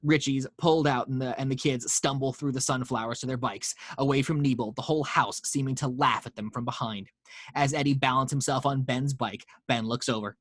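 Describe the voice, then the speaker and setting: A man's voice: mid-range voice, fairly bright tone, no echo. Dry-voiced man reading stage directions. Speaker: narrator reading action lines. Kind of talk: table read narration. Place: home.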